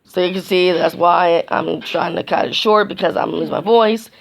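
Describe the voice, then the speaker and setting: Hoarse woman vlogger, gravelly, rambling with restarts, voice nearly gone. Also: lowish voice. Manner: rambling, halting, many restarts. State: hoarse, losing her voice from a sinus infection. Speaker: YouTube vlogger. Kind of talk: casual vlog chat to camera.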